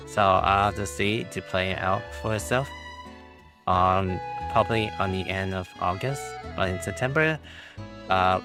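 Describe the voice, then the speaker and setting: A male game streamer talks casually in a deep, creaky voice that sounds rough. He rambles haltingly from thought to thought. Music plays clearly behind him. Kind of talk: casual livestream chat.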